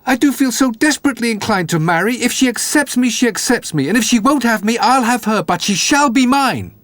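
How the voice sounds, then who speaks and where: A man voicing a foolish, excitable young man, giddy and boastful, in a storybook reading. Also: very high-pitched, fairly quick, dry recording, pitched above the performer's own voice. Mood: eager. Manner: giddy, shouting, sing-song.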